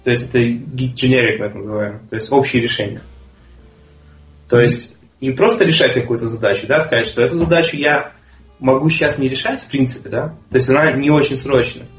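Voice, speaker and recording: A man co-hosting a relaxed chat show who talks fast and eagerly. His voice carries a roomy echo, and faint music plays behind him. He argues his points with energy. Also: medium pitch. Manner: quick, talkative, argumentative. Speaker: co-host. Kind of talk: casual panel chat.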